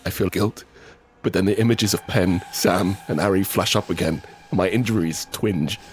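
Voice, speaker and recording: Actor as deep-voiced, anguished wounded father. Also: faint music behind, close to an everyday voice. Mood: pained, grave narration. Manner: halting, repetitive, pleading in dialogue. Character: injured, desperate father pleading to save his family. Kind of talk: first-person audio drama, narration and dialogue.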